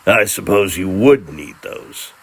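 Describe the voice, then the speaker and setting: A man performs a cruel fantasy king in a dramatic story. The voice is low and rough, with gravel in it. He is imperious and disdainful, and every phrase carries a threat. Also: animated, takes it slowly, a bit bright, full character voice. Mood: threatening.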